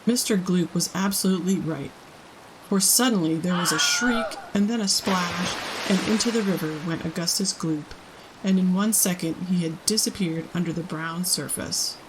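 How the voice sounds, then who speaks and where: A woman hosting a storytime, reading a children's book aloud in an expressive narrating style. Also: lowish voice, moderate pace, noisy background. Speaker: storytime host and reader.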